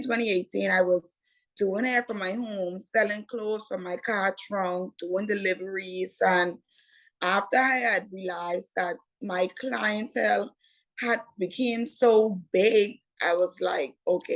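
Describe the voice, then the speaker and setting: A young Bahamian woman tells her story at an easy, slow pace. Her voice sits in a middle register, and she keeps circling back with the same phrases as she recounts events. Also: medium pitch. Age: 20s. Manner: unhurried, repeats "what had happened was". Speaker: interview guest, entrepreneur. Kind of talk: casual interview storytelling.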